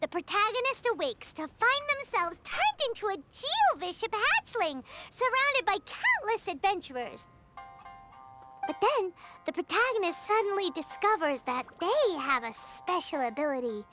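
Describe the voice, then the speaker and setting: A woman voicing a tiny childlike fairy companion in an anime video game, squeaky, exclamatory and cheeky. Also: very animated, moderate pace, over loud music. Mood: animated storytelling.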